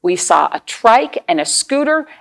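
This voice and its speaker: A woman's voice: fairly high pitch, sing-song, measured pace, creaky. A woman hosting a children's storytime, reading aloud, explaining things simply and asking the young listeners questions.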